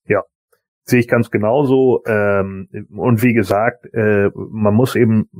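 A man's voice: fairly low pitch, steady tone, slow pace, a little mellow, no echo. A man speaking as a panelist in a casual fan podcast discussion.

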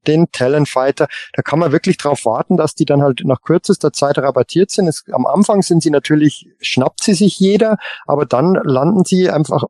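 A man on a casual podcast panel, reasoning in a halting way and often repeating his words. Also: on the high side, darkish tone, no room sound.

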